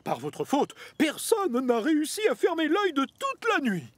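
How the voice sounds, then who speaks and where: Stern male controller, cartoon pomposity, high voice with big pitch swings. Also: wildly swooping pitch, unhurried, no echo, full character voice. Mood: scolding. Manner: pompous, reprimanding. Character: stern, scolding male railway controller, boss. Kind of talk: children's cartoon dub acting.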